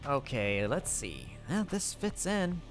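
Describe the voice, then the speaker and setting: A man voicing his character in a survival game roleplay, excitably thinking aloud to himself. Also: on the high side, slow pace, faint music behind.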